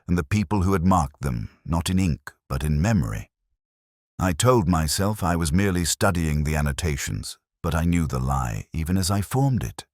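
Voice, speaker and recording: A man with an extremely deep voice narrates a literary mystery in the first person. His delivery is measured and brooding, turned inward like private reflection. The sound is very dry, with no room around the voice. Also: no room sound.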